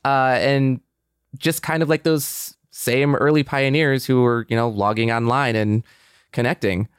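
A man's voice: mid-range voice, steady tone, measured pace, smooth, dry recording. Dry, close-sounding American man hosting. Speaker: podcast host. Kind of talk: casual podcast chat.

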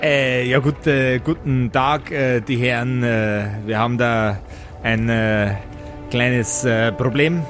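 A man's voice: mid-range voice, fairly even intonation, music in the background, natural voice. A man voicing a flustered, formal village mayor who stammers politely through long hesitations in a tabletop audio play. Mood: flustered, hesitant. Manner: stammering, full of drawn-out hesitations.

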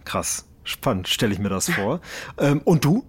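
An actor playing an eager, chatty podcast host in a radio drama, with a husky voice. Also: male voice, medium pitch, big pitch swings, a little slow, heavily acted. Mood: friendly, interested. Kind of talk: radio drama acting as casual interview.

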